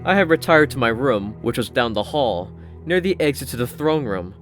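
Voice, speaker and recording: A man's voice: medium pitch, animated, normal speed. A man reading scripted first-person fiction as an audiobook narrator. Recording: narration over prominent music.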